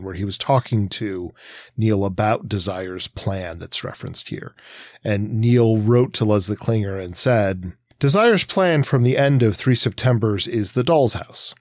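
Lower-voiced man casually analysing fiction. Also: dry recording, clean studio sound. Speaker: podcast co-host.

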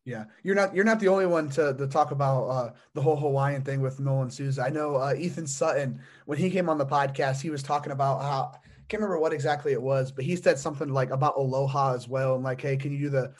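A man's voice: medium pitch, quick pace, hardly any pauses. A young man hosting a sports podcast, asking long, rambling questions full of fillers and restarts. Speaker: podcast host. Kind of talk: casual sports interview.

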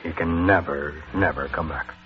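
A man's voice: extremely low pitch, faint music behind, pitched below the performer's own voice. Man acting a grave doctor on muffled old radio. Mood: grave, solemn. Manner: grave, slow, portentous. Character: solemn-faced male doctor giving a grim verdict. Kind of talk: 1940s radio dramatization.

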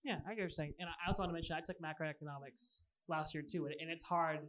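A woman's voice: low voice, fairly even intonation, fast, a bit bright. A young woman student chatting casually as she interviews other students. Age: young adult. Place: indoors at a table.

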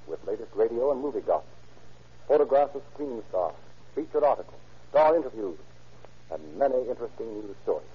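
A man's voice: mid-range voice, breathy edge. Formal male announcer on tinny old radio. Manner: formal, declamatory. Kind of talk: 1930s radio sponsor announcement. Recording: thin phone-like old radio recording.

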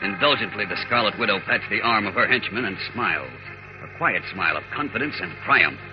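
A man's voice: lowish voice, lively intonation, fairly quick. A man narrating a vintage radio serial as its announcer, dramatic and suspenseful. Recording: muffled old radio recording with loud music.

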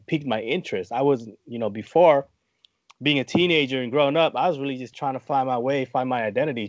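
A middle-aged American man with a cold, chatting informally and slangily as an interview guest, rambling a little and clearing his throat. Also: medium pitch, darkish tone, no echo, muffled recording. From United States (Tacoma, Washington). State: under the weather with a cough, clearing throat. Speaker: podcast guest, community activist. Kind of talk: casual conversational interview.

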